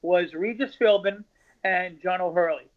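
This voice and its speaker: A man talks over a video call, his voice pitched quite high and the audio dull and lo-fi. He is a stand-up comedian who chats in a loose, rambling way. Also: a little slow. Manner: chatty, rambling. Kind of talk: casual chat.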